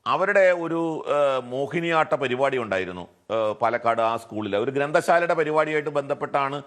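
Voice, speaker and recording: A man's voice: fairly high pitch, a bit bright. A man with a Malayali accent arguing his case as a television debate panelist, often posing rhetorical questions. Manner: argumentative, poses rhetorical questions.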